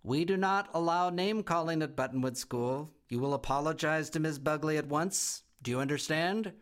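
A man's voice: fairly high pitch, moderate pace, voice pushed up, natural voice. A man playing a firm, formal school principal. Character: firm strict male school principal bug.

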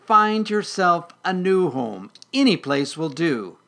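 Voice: medium pitch, lively intonation, measured pace, husky. Storyteller as a grumbling, exasperated furry creature. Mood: grumpy. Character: grumpy furry male creature, tired, gruff but soft-hearted.

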